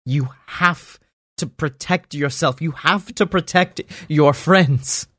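Dude doing a serious elf lady warning a kid, slow and earnest, dry sound. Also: on the high side, fairly bright tone, dry recording. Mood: grave. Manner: grave, pleading. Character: earnest elven woman, frail-looking but strong.

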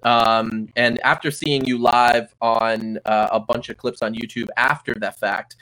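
Host brief: man interviewing a musician, unhurried and hesitant, steady mid voice. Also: mid-range voice, low-quality recording. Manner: hesitant, many "um" and "uh" pauses. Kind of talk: conversational interview.

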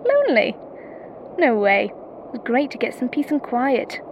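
Woman playing a high-voiced, teasing astronaut on radio. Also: wildly swooping pitch, unhurried, exaggerated voice. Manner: playful, sardonic. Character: teasing female astronaut crewmate on comms. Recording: muffled, noisy radio link.

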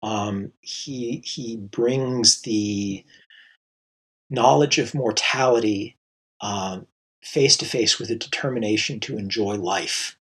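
A man being interviewed as a composer, answering thoughtfully with many pauses, fillers and restarts. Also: on the low side, a bit bright, husky, low-quality recording. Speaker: composer guest.

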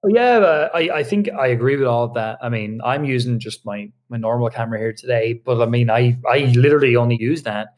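Man answering in quick, loose bursts. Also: medium pitch, darkish tone. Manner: quick, loose, many restarts and fillers. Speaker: podcast guest, online business co-founder. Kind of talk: casual interview answers. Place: home video studio with lights.

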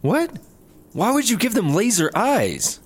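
Lively, hapless bumbling sidekick. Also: male voice, high-pitched, measured pace, breathy edge, heavily acted. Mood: baffled. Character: hapless, sheepish male startup worker, bumbling sidekick. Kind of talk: comedy audio drama acting.

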